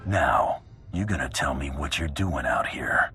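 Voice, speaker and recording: An actor playing a tough, no-nonsense bounty hunter in a video game cutscene, speaking tersely. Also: male voice, a bass voice, animated, measured pace, bright, forceful tone, soft background music, heavily acted. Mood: cool, businesslike. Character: gruff male bounty hunter, tough and businesslike.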